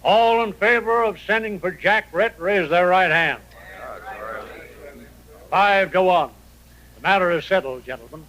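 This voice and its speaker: A middle-aged frontier mayor in vintage radio drama. The voice sits a little high. He is firm and authoritative, like someone chairing a serious meeting. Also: a little slow, long pauses, a bit bright, rough recording. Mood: firm, decided. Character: firm, reform-minded mayor of a western town, a father. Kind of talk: 1950s radio drama acting.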